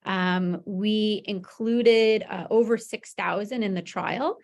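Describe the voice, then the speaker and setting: A woman presents research in a webinar at a medium pitch. Her voice has noticeable vocal fry. She explains things informally, checking in with listeners as she goes. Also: takes it slowly. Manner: informal explaining with frequent "you know" and "right?" check-ins. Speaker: medical researcher presenting a study.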